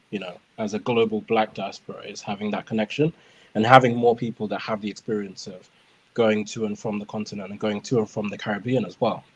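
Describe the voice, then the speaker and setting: A man from the United Kingdom speaking as a panelist in a casual discussion, earnest and animated. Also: on the low side, husky, dull audio.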